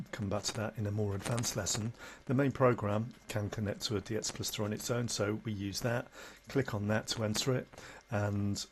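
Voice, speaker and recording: A man with a fairly low voice presents an informal, step-by-step software tutorial. He is matter-of-fact and slightly halting as he demonstrates. There is background noise with some clicking behind the voice. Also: poor audio.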